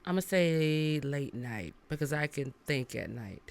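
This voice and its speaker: A woman singer, a guest on a podcast, talking in a relaxed, chatty way and laughing easily. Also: low voice, unhurried. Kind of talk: casual interview chat.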